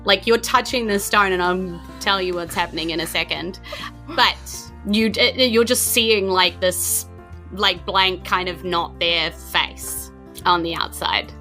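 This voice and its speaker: A woman's voice: lowish voice, a little slow, bright, forceful tone, soft background music. A woman with a New Zealand accent, running a tabletop game and painting scenes for her players with lots of "like" and "you know". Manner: vivid, searching descriptions full of "like" and "you know". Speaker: game master.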